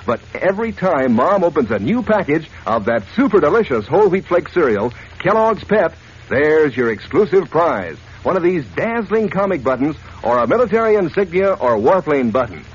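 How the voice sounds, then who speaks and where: A man's voice: sing-song, normal speed, slightly rough. An actor playing a boy's friendly older pal in a 1940s radio advert, chummy and salesman-like. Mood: chummy, promotional. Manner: chummy, pitching. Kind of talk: 1940s radio acting in a sponsor spot. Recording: dull, noisy old radio recording.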